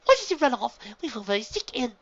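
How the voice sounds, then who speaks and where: A woman voicing an excitable young girl pony who frets and scolds in a high voice. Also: quick pace, highs cut off. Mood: anxious scolding.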